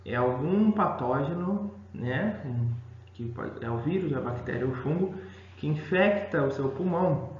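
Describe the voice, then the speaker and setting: A man's voice: fairly high pitch, lively intonation, unhurried, darkish tone. A Brazilian man teaching a recorded science lesson to school students, explaining patiently and checking in often with tag questions. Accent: Brazilian Portuguese. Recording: echoey room, dull lo-fi sound.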